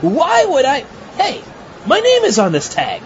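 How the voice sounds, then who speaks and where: A man voicing a dotty, absent-minded mad scientist in a slow, bewildered, theatrical comedy audio drama style. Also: extremely high pitch, huge pitch swings, heavily acted. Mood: dotty wonder. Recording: muffled lo-fi audio drama, faint noise.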